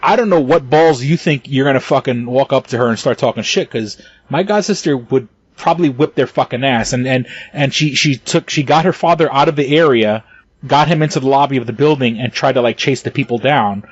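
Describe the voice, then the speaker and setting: A man's voice: medium pitch, fairly quick, slightly rough, no room sound, dull audio. A man co-hosting a casual podcast, talking in a heated, profane way with stumbling restarts and bursts of laughter. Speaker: podcast co-host.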